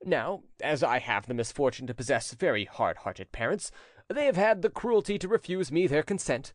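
A man's voice: a little high, no echo, studio quality. A man voicing an insolent young prince in a storybook, with an easy, assured, florid way of talking.

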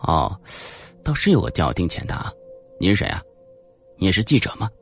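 Man acting a dry, deep-voiced male psychologist. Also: sing-song, moderate pace, gravelly, soft background music, heavily acted. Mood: wry. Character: dry, wry male psychologist, calmly questioning.

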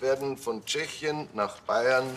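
An actor as a slick mid-pitched paid informant. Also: male voice, takes it slowly, noisy background, natural voice. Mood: cheeky, businesslike. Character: slick, mercenary informant selling tips. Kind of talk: naturalistic TV crime comedy acting.

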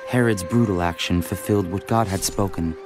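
Low-voiced male Bible narrator. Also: a little fast. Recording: faint music behind the voice. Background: music.

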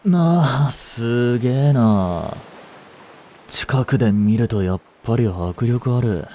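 A man playing a young delinquent in a voice drama, listless and blunt, with rough slangy talk and a caring streak. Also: mid-range voice, lively intonation, slow pace, dark tone. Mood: teasing, blunt. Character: gruff, languid young male delinquent, secretly caring. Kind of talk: Japanese voice drama for female listeners.

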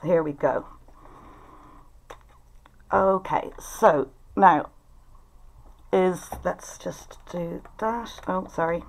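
A middle-aged woman hosts an online gathering in a chatty, apologetic way. Her voice sits a little low and she takes her time, speaking into a computer on a video call at home. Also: very slow, vocal fry, pauses often. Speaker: host of online writers' event. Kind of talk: informal event hosting. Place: living room at home. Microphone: video call, computer at desk distance.

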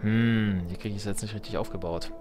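A man's voice: fairly low pitch, fairly even intonation, brisk, over music. A man giving casual let's play commentary, musing to himself with dry, playful wordplay.

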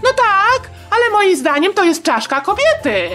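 Woman acting a high-pitched fussy scholar. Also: big pitch swings, measured pace, a bit bright, soft background music, heavily acted. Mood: sceptical. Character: fussy, sceptical scholar challenging museum exhibits. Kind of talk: acted comic history sketch.

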